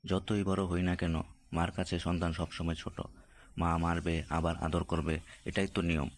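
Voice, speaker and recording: A man playing a naive grown boy in a cartoon, with a deep voice. He talks colloquially and plaintively, like a son grumbling to a friend. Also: little pitch movement, moderate pace, close to an everyday voice. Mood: aggrieved. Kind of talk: casual cartoon dialogue.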